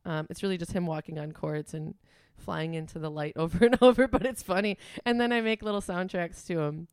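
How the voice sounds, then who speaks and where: A young adult woman with a Wisconsin accent, a musician chatting casually in an interview, full of "like" and "um" and quick to laugh. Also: fairly low pitch, darkish tone, smooth, dry recording, clean studio sound. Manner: chatty, frequent "like" and "um", laughs easily. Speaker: musician guest.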